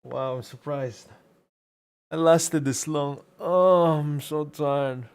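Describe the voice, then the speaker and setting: A man's voice: on the high side, steady tone, slow, clear, resonant tone. A man streaming a game with casual, joking, slangy live commentary. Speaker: streamer and amateur voice actor.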